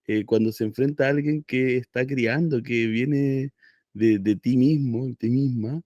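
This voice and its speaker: Mellow-voiced adult male radio host. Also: medium pitch, unhurried, no room sound, dull audio. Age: middle-aged. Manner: hesitant, frequent "eh" and "¿cierto?". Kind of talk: casual radio interview.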